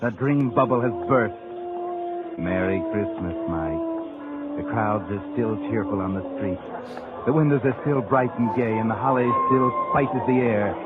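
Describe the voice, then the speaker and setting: A man's voice: on the low side, normal speed, phone-quality sound, natural voice. An actor playing a sinister, all-knowing narrator who mockingly needles a guilty man in a 1940s radio suspense show. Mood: sardonic, ominous. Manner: sardonic, needling, addresses the listener as the character. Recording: thin old radio recording, loud music behind.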